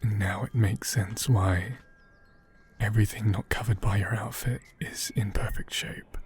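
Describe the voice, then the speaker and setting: A man acting a gentle, caring doll owner in a soft ASMR roleplay, speaking tenderly and haltingly, often in whispers. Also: on the low side, fairly even intonation, faint music behind, close to an everyday voice. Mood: shocked, pitying.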